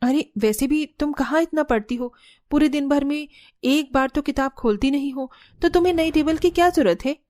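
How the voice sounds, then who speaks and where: A woman's voice: high-pitched, moderate pace, a little mellow, no echo, clean studio sound, close to an everyday voice. A woman voicing a firm but caring young daughter-in-law who reasons earnestly in a cartoon story. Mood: firm refusal. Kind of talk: Hindi cartoon story dialogue.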